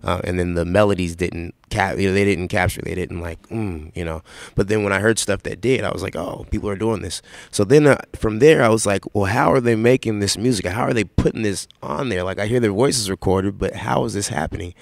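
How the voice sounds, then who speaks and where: A young man from Seattle with a raspy voice, answering interview questions in a relaxed, rambling way with lots of fillers. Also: on the low side, fairly quick, hardly any pauses, dry recording. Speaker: rapper guest.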